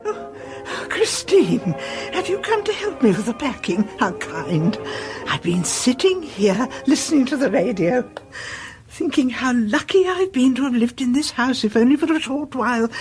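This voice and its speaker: An actress playing a frail, kindly elderly neighbour in a radio play, genteel and hesitant, with a husky, breathy voice. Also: female voice, on the high side, sing-song, unhurried, darkish tone, soft background music. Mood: kindly, wistful. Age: older.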